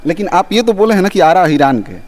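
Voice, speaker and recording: A man's voice: high voice, lively intonation, quick pace, a little mellow. A man working as an interviewer, putting persistent, pointed questions in a probing style.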